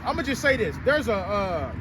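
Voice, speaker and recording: A man's voice: high-pitched, big pitch swings, moderate pace. A man teaching scripture to a group, talking casually and emphatically, and often prompting the reader to go on. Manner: emphatic, colloquial, keeps prompting the reader with "right, go ahead". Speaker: street teacher leading a scripture class. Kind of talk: loose spoken Bible teaching. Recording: loud wind noise on the mic.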